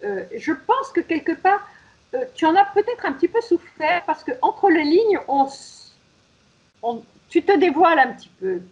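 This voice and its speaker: A woman's voice: extremely high pitch, animated, moderate pace, darkish tone, muffled recording. A woman hosting a radio interview, summing up her guest's story and drawing her out. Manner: leading, summarizing the guest's story. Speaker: radio host.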